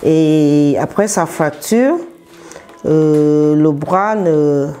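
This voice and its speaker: A woman with a Cameroonian accent speaks in a low voice at a slow pace. She is a therapist being interviewed, explaining things unscripted, in halting phrases with frequent pauses. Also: mellow, soft background music. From southern Cameroon. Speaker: massage therapist and business owner, interview guest.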